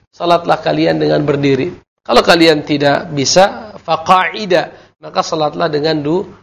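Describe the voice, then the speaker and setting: Gravelly-voiced man teaching Islamic law. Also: fairly high pitch. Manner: measured explanation with Arabic terms, frequent fillers and self-corrections. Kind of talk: televised religious lesson with call-in questions.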